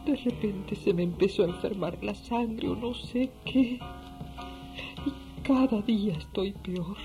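A woman's voice: mid-range voice, slow pace, warm tone, soft background music. An actress playing a sick, despairing old grandmother in a radio drama, speaking in a weary lament. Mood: sorrowful, weary. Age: older. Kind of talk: Spanish-language radio drama acting.

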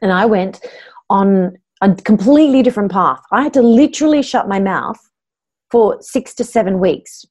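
A woman's voice: medium pitch, animated, normal speed, darkish tone, husky. A middle-aged woman with an Australian accent, a voice coach telling her own story openly and earnestly in an interview.

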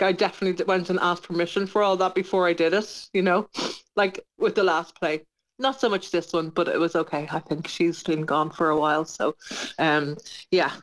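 A woman's voice: lowish voice, low-quality recording. A woman talking informally as a radio interview guest, chatty and often correcting herself as she goes. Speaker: interview guest, playwright and producer.

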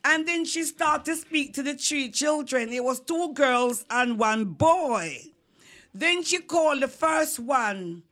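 A Jamaican woman telling a childhood folk story as a guest, rambling and warm, breaking into singing. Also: high-pitched, expressive, slow pace.

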